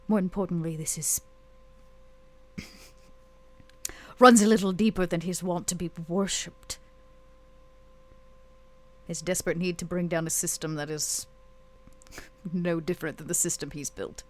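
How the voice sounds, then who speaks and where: A woman roleplaying a proud, guarded, bitter vampire woman in a tabletop game, speaking curtly and deliberately with intense pauses. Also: on the low side, fairly even intonation, close to an everyday voice. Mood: determined, grim.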